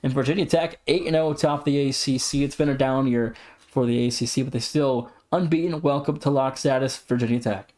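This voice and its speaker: A man's voice: medium pitch, fairly quick, a little mellow. A man co-hosting a sports podcast, commenting casually with an announcer-like, emphatic way of repeating himself. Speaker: podcast co-host.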